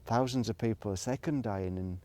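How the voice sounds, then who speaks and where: A man's voice: fairly low pitch, a little fast, a little mellow. A middle-aged man, rambling reflectively in a casual solo monologue, hesitant and thinking aloud as he goes. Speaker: solo video blogger.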